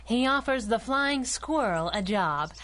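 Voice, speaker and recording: Sassy young superhero sidekick. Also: female voice, a little high, expressive, a little slow, fairly bright tone, slight background noise. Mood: wry, playful. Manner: wisecracking, slangy, coy. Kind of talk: 1930s-style radio drama acting.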